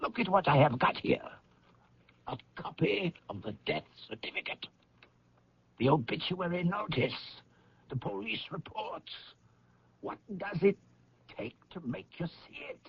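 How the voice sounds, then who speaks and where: A woman's voice: fairly low pitch, expressive, unhurried, vocal fry, long pauses, breathy edge, lo-fi sound, exaggerated voice. A woman acting in an old-time radio drama as a domineering, exasperated confidant, blunt and emphatic.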